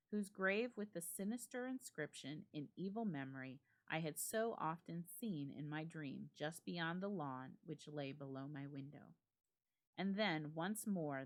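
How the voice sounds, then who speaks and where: Expressive low-voiced woman storyteller. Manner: theatrical, suspenseful, deliberate emphasis. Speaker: library staff storyteller. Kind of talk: dramatic reading of a classic ghost story.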